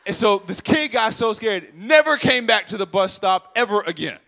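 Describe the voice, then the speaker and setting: A young man speaking on a stage with a handheld mic, telling an animated story, acting out sound effects and talking straight to the crowd. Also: high-pitched, moderate pace, vocal fry. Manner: animated, acts out sounds and voices, addresses crowd directly. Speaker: speaker at a church youth event. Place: stage in a purple-lit hall. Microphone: handheld microphone.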